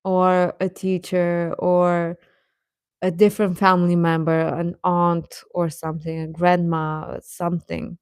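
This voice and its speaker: Female live stream host: unhurried delivery, lowish pitch, informal and a little hesitant, talking straight to viewers. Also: little pitch movement, clear, resonant tone, no echo, studio quality.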